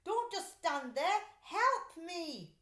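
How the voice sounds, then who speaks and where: A sulky little boy from a storybook, played by a woman reading aloud, pitched high and full of whiny demands and self-pity. The sound is roomy and echoey. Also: big pitch swings, drawn-out words, pushed and bright, heavily acted. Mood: demanding. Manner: exclaiming, demanding, self-pitying. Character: jealous, sulky little boy, younger brother.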